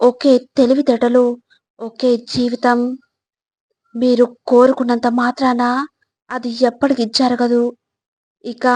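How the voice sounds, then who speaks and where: A woman reading scripted motivational narration in a measured, instructive way, phrase by phrase. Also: a little high, a little mellow, dry recording.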